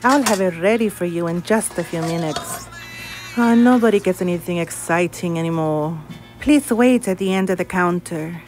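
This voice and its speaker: An actress playing a mysterious potion-shop proprietor in an audio drama, formal and enigmatic. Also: female voice, lowish voice, normal speed, a little mellow, soft background music, close to an everyday voice. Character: mysterious female potion-shop proprietor, fortune-teller type. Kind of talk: comedic crime audio drama acting.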